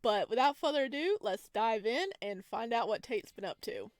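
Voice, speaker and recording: A woman reading the text of a horror visual novel aloud at an even pace, stopping for chatty asides and giggles. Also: fairly high pitch, normal speed, no echo. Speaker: game streamer.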